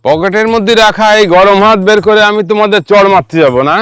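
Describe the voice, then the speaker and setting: Clear-voiced, suspicious village uncle played by a man. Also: high-pitched, fast talker, a bit bright, no echo. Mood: suspicious, stern. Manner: interrogating, commanding. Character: suspicious, bossy village uncle questioning youngsters. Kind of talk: village comedy drama acting.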